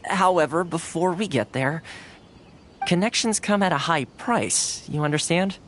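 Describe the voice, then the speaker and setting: A man's voice: a little high, unhurried, noisy background, close to an everyday voice. A man playing an affable, wry young fixer in a video game, easygoing and persuasive as he talks. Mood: confiding. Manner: smooth-talking, easygoing, persuasive. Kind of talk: video game dialogue acting.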